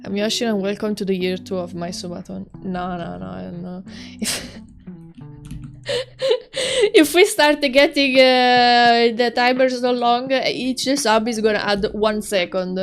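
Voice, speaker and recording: An Italian woman whose first language is Italian, speaking English with an Italian accent as a streamer on a casual livestream. Her voice sits a little on the high side, and she chats with lots of giggles, trailing off and restarting her sentences. Soft music plays quietly in the background. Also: unhurried. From Italy. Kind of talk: casual livestream chat while drawing.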